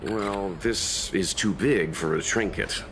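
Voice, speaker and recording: A man voicing a calm, sensible, courteous man in a video game, measured and reassuring. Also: low voice, background noise. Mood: gentle, thoughtful. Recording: game music clearly behind the voice.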